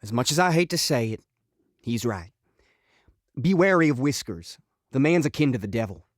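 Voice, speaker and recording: Man as animated, guarded Western saloon pianist. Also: medium pitch, normal speed, no room sound, studio quality, close to an everyday voice. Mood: grudging warning. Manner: casual, defensive. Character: guarded, evasive male saloon pianist, reformed gunslinger. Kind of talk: audio drama character acting.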